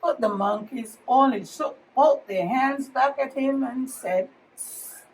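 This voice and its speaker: A woman's voice: high-pitched, a little slow, creaky. A woman reading a children's picture book aloud as a teacher, telling it expressively for young listeners. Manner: expressive storytelling with questions to children. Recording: distant microphone, faint background noise.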